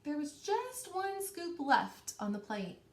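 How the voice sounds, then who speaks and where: A woman's voice: high-pitched, sing-song, slow pace. A woman reading a storybook aloud to children like a teacher, expressively stretching key words and asking questions. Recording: roomy, echoing indoor sound.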